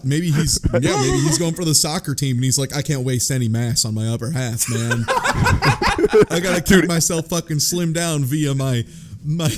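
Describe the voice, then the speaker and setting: A man's voice: on the high side, slight background noise. A man chatting casually as a podcast panelist, with a slangy, profane, joking manner. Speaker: book club podcast panelist. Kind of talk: casual comedic group chat.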